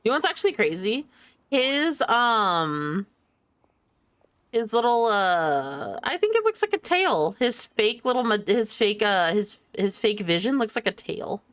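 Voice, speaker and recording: A woman streamer giving casual, playful game commentary, jokey and quick to swear. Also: mid-range voice, very animated, fairly bright tone, dry recording.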